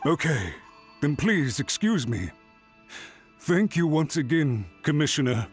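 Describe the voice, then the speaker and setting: A man's voice: a little high, very animated, measured pace, soft background music. An actor playing an anxious male government official in a game, speaking apologetically and deferentially. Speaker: game character.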